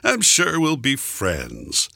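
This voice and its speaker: Guy doing a cocky storybook coyote, breezy n flippant, bright gravelly voice, never worried. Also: mid-range voice, wildly swooping pitch, slow, no room sound, studio quality, exaggerated voice. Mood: breezy confidence. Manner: breezy, flippant, casual slang. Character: cocky, upbeat trickster coyote, unfazed by danger.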